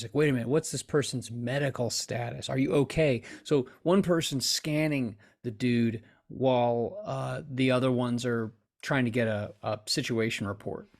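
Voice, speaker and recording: A middle-aged man playing a tabletop game, casually reasoning aloud with halting restarts. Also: mid-range voice. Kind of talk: casual improvised game discussion. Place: indoors on a video call.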